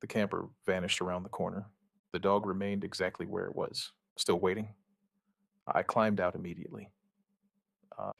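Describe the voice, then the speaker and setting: An actor playing a shaken outbreak survivor, giving his account in halting, somber, dramatic narration with short deliberate phrases. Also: low-pitched, flat delivery, creaky, fairly bright tone, no echo, studio quality, heavily acted. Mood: quietly determined. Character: shaken male outbreak survivor recounting events, dryly stubborn. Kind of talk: dramatic first-person scripted narration, witness account.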